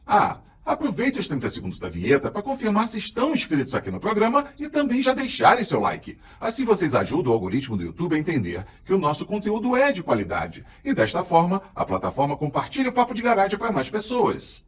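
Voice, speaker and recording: Male show host, upbeat announcer energy, high and very animated pitch, slightly distant from the mic. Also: a little fast, hardly any pauses. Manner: enthusiastic, energetic announcer delivery. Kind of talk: lively program host intro.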